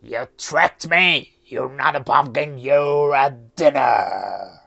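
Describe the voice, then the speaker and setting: A woman's voice: rumbling low, slow pace, creaky, a bit bright, slightly rough, highs cut off, soft background music, pitched below the performer's own voice, heavily acted. A woman voicing a hungry, threatening wolf in a folktale. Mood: hungry, demanding.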